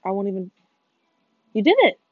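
A teenage girl co-hosting a casual gaming podcast with friends, talking fast, swearing and running herself down, with excited outbursts. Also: medium pitch, slightly rough, dry recording. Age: teens. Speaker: podcast co-host. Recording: muffled dull sound, faint music behind.

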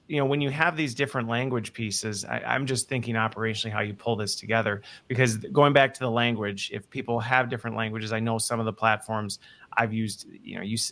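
A man's voice: on the low side, a bit bright. A man interviewing guests in a casual business conversation, thinking aloud and often checking that he has understood.

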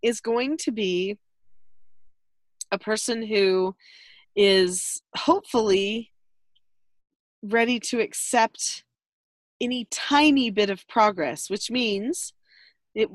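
A woman co-hosting a casual advice chat, explaining calmly and earnestly, laughing now and then. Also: fairly high pitch, measured pace, pauses often, dry recording. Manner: earnest, instructive, laughs often. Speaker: podcast host, parenting teacher.

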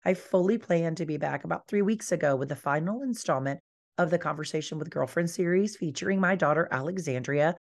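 A woman life coach hosting her podcast solo, earnest and encouraging, her voice hoarse from being sick. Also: low-pitched, fairly quick. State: hoarse, recovering from losing her voice.

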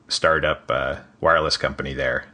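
Deep bright-toned man, halting interviewer. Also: level tone. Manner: hesitant, with many restarts and small laughs. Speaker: podcast host. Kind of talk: casual interview conversation.

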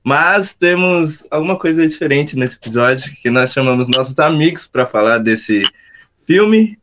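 A man co-hosts a casual podcast and sounds upbeat as he opens the show. His voice sits a little higher than most men's. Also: animated, moderate pace, fairly bright tone, a little hiss or hum. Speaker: podcast co-host.

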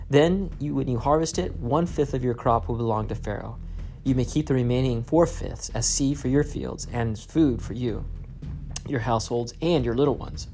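A man voicing an authoritative governor giving measured instructions. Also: medium pitch, darkish tone, slight background noise, close to an everyday voice. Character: authoritative governor, a powerful brother.

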